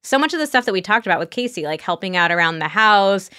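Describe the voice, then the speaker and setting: A woman's voice: mid-range voice, expressive, fast, bright, forceful tone, clear, resonant tone, no room sound, clean studio sound. A woman speaking as a guest sex therapist in casual, explanatory podcast talk, illustrating her points with quoted examples.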